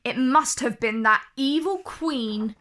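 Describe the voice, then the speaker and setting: A woman's voice: high-pitched, slow pace, bright, forceful tone, full character voice. A woman voicing kindly, bustling little male dwarfs in a children's storybook, with an eager manner. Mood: anxious, urgent.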